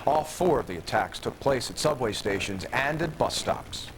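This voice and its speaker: A man's voice: mid-range voice, animated, normal speed, a little hiss or hum, low-quality recording. An American man reading scripted television news. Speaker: news anchor.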